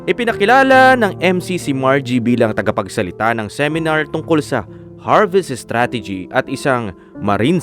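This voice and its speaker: Casting: admiring man telling his own story for radio drama, guitar underneath. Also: fairly high pitch. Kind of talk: scripted first-person radio drama narration. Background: plucked guitar music.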